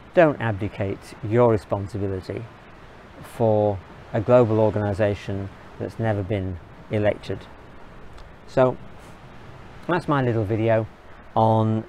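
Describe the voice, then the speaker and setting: A man from England, giving an earnest, measured opinion monologue in short, halting phrases. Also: on the low side, steady tone, mellow, slight background noise, low-quality recording. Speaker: video blogger.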